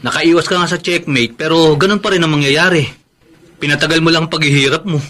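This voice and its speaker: A man's voice: on the high side, fast, sharp tone. An actor with a Filipino accent playing a blunt, prodding male friend in a radio drama. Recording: faint background noise.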